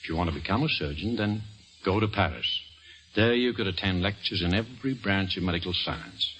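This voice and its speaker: A fatherly older doctor, low-voiced and measured, a little patronizing. It is an old radio drama with music in the recording. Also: fairly bright tone, low-quality recording. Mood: cautioning, paternal. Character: paternal older male doctor, cautious but fond mentor.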